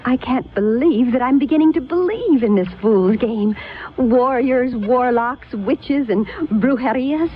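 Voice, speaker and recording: A woman's voice: on the high side, measured pace, natural voice. A woman playing a spirited, skeptical young apprentice in a 1970s radio drama, with a sardonic, protesting dramatic delivery. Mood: doubtful, exasperated. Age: young adult. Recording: dull, lo-fi vintage radio recording.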